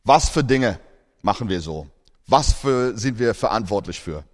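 A man's voice: lowish voice, lively intonation, measured pace, in a large hall. A man born in Australia preaching a sermon in German, earnest, with grammar slips.

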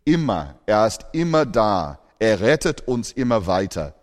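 A man's voice: lowish voice, big pitch swings, measured pace, in a large hall. A man born in Australia preaching a sermon in German, earnest, with grammar slips.

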